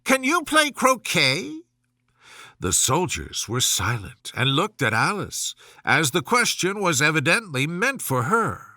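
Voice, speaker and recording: A man reads a children's story as narrator, expressive and animated. His voice is fairly high and very bright, recorded close and dry. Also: measured pace, few pauses, dry recording.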